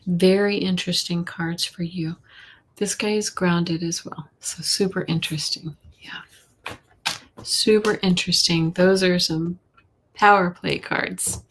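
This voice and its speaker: A woman hosting a live tarot reading, a little hoarse, chatty, with a soft voice that often drops to a whisper. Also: on the low side, steady tone, unhurried, slight background noise, poor audio. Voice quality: soft voice, not loud. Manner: chatty, reads viewer questions aloud, drops to whispers. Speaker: intuitive reader, live stream host.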